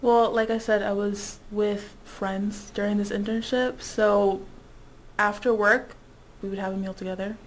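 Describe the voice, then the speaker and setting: A woman's voice: medium pitch, little pitch movement, unhurried, slight background noise. A woman in her twenties, born in the Dominican Republic and raised in Indiana, talking casually about her internship as an interview guest. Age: 20s.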